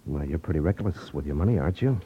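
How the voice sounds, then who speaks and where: Skeptical detective hero, vintage radio drama: husky, lively intonation, wry and unimpressed, muffled archive sound. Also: male voice, rumbling low, full character voice. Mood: sardonic. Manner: dry, sardonic, unhurried. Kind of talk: 1950s radio drama acting.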